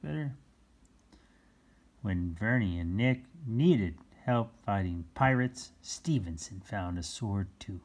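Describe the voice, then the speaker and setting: A children's read-aloud host with a husky voice, reading a picture book and adding playful exclamations and explanations for young listeners. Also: low-pitched, expressive, slow, a little mellow. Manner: exclaims, gasps, chuckles and explains words to children.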